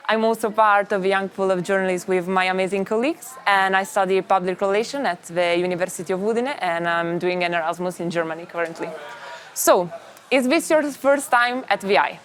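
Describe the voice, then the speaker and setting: A woman's voice: mid-range voice, a little fast. A young woman, interviewing fellow young journalists at an event. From Italy. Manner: upbeat, leads with questions. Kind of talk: casual on-the-spot interview. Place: busy youth event venue.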